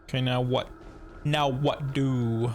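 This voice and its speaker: A man's voice: medium pitch, steady tone, slow pace. A man streaming a casual gameplay reaction, muttering informal asides at the screen. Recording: game music behind the voice.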